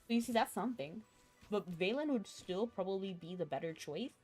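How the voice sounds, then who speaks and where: A woman streaming a video game, chatting casually and thinking out loud as she plays, with a chuckle here and there. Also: mid-range voice, lively intonation, a little fast, husky, rough recording. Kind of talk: casual live-stream chat while gaming. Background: faint game music.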